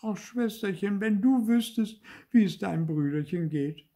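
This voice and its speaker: A cheeky little puppet boy from a children's storybook. The voice is pitched far up and swings widely, with a whining, theatrical tone of protest and wounded indignation. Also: lively intonation, takes it slowly, warm tone, breathy edge, heavily acted. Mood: self-pitying whimper. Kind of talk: dramatised children's storybook reading.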